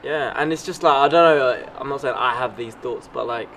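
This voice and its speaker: A man's voice: medium pitch, a little fast, fairly bright tone. A young man chatting casually and earnestly as a fundraiser and host. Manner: earnest, informal. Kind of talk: casual chat. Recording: faint outdoor traffic noise.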